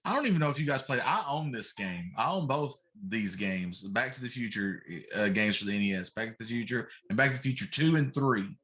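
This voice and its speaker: A man's voice: medium pitch, animated, a little fast, hardly any pauses, a bit bright. A middle-aged man hosting a casual talk show, chatting about games in an emphatic, complaining way.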